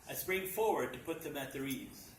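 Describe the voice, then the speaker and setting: A man reading a comic short story aloud at a live reading, with theatrical, deliberate emphasis. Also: medium pitch, lively intonation. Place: library function room at a live reading. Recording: echoey room, distant mic, noisy.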